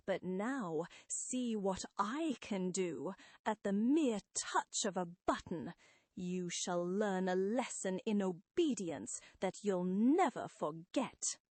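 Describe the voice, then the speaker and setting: Armored male supervillain, acted by a woman: imperious, grandiose, drawn-out delivery, dry close sound, comic-book story. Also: medium pitch, no echo. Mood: menacing. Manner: pompous, grandiose, deliberate.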